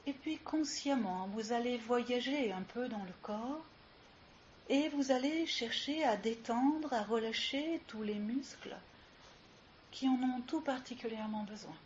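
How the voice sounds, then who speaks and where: A woman leading a guided yoga relaxation as instructor, giving soothing, unhurried instructions with long pauses, recorded live. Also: on the high side. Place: live session with ambient sound. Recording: muffled live recording with clear hiss.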